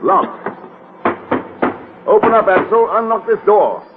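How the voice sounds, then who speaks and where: An actor playing a quick-witted American secret agent with a brisk, wisecracking delivery in a 1940s radio adventure. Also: male voice, sits high, sing-song, heavy background noise, exaggerated voice. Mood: urgent. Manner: brisk, wisecracking, hard-boiled. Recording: muffled lo-fi old radio recording.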